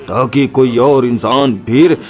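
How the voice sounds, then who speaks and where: Man playing a slow, menacing cartoon monster. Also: medium pitch, darkish tone, lightly acted. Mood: menacing, taunting. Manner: slow, taunting villain delivery. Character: menacing male monster, arrogant and cruel. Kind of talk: cartoon story villain acting.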